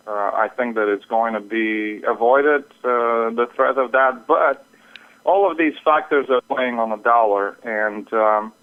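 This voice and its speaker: Man on a narrow phone line. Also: medium pitch. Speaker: market analyst, interview guest. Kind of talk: market commentary. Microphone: telephone.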